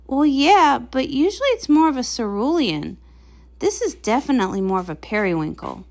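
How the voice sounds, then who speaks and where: A woman's voice: sits high, big pitch swings, normal speed. A woman voicing a vain, fussy pony patient who complains dramatically in a comic read-along. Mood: fussy, dismayed. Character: vain fussy blue pony patient, fretting over its coat.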